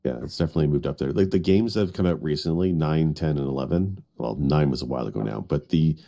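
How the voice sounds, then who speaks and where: A man's voice: extremely low pitch, fast, vocal fry, mellow. A man chatting casually and giving opinions as a podcast panelist.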